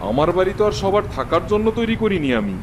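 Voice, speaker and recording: A man's voice: sits high, big pitch swings, fast talker, background noise. A man playing a haughty, stingy, domineering village landlord in a cartoon, speaking in a scornful, bossy way. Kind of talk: cartoon dialogue acting.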